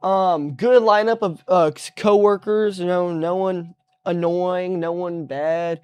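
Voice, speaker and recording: A man's voice: sits high, takes it slowly, smooth, dry recording. A young man on a live stream chatting casually and easygoing about his work day. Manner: relaxed, easygoing, trails off mid-sentence. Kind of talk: casual chat.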